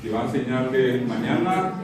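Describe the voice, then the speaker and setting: A man's voice: medium pitch, animated, moderate pace. A man giving an interactive language lecture, didactic, repeating example words and putting questions to the audience. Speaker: lecturer teaching Guaraní. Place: university auditorium. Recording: very echoey hall, distant mic, audience noise.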